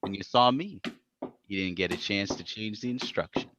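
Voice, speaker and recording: An actor playing a blustering, angry crooked stockbroker in a live old-time radio drama. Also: male voice, on the low side, moderate pace, dull audio, noisy background, lightly acted. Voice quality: blustering, tough-talking. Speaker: stage actor in radio play.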